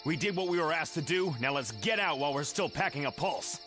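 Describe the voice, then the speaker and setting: A man's voice: fairly high pitch, animated, fairly quick, natural voice. An actor playing a young male team leader who gives firm, clipped orders. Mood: commanding. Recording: music score behind.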